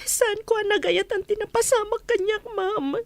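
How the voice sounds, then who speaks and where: A wronged young housemaid in an Ilocano-accented radio drama. Her voice is very high and plaintive, recorded close and dry, always on the edge of tears as she pleads. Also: moderate pace, no room sound. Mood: pleading. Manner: tearful, plaintive.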